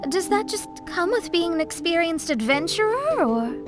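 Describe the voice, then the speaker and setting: A woman's voice: very high voice, big pitch swings, moderate pace, music in the background. A woman playing an earnest, anxious young astrologer with a hesitant manner in an English game dub. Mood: puzzled.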